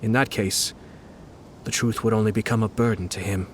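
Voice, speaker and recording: A man playing a composed, calculating young nobleman in a video game, speaking in a measured, formal, deliberate way. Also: lowish voice, steady tone, slight background noise, natural voice. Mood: solemn, resolute. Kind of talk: video game character dialogue. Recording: music behind the voice.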